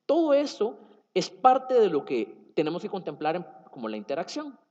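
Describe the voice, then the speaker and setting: A man's voice: high voice, huge pitch swings, moderate pace, warm tone. A man with a Guatemalan Spanish accent giving a tech conference talk, explaining with fillers and self-corrections. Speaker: conference speaker. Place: conference hall. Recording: dull sound in a reverberant hall.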